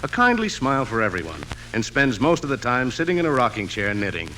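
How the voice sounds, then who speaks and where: An actor playing a chatty, knowing department store clerk in old radio comedy style. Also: male voice, medium pitch, sing-song, fast talker, close to an everyday voice. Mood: wry, knowing. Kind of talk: 1950s radio comedy acting. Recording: noisy old radio show recording.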